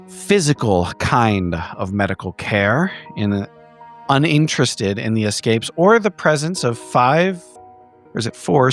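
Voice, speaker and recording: A man giving a measured, wordy recap in formal storytelling phrasing, as a player in a tabletop horror game. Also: mid-range voice. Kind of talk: in-character recap narration for an actual play. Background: background music.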